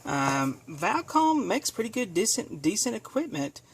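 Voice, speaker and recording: Medium-pitched voice, casual hands-on demonstration, halting delivery, hesitant, self-correcting. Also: big pitch swings, husky. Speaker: video presenter demonstrating equipment.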